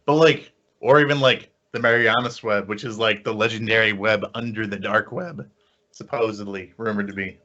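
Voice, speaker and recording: A man's voice: lowish voice, steady tone, normal speed, sharp tone. A man co-hosting a podcast in casual banter, with an emphatic manner. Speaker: podcast co-host. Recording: dull lo-fi podcast audio.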